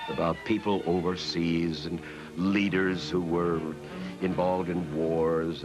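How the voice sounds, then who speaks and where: An older man with an American accent, reminiscing in an interview in an emphatic, measured storytelling way. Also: deep voice. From Massachusetts, United States. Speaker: interviewee recalling the past. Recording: music playing behind the voice. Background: music.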